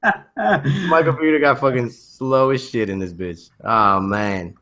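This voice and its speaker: A man hosting a casual podcast with friends, bantering excitably and emphatically in profane slang. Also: mid-range voice, slow, background noise. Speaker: podcast host.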